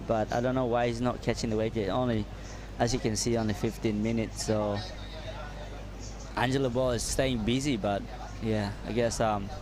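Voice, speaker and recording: Friendly man co-commentating surfing, halting. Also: mid-range voice. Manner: halting, friendly, laughs easily. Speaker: co-commentator and surfer. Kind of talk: live sports commentary. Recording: noisy outdoor ambience with traffic.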